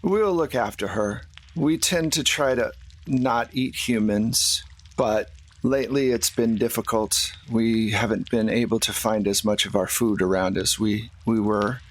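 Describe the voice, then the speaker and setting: Man playing a halting, apologetic troll. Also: on the low side. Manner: halting, plain-spoken. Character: pragmatic, apologetic male troll protecting his kind.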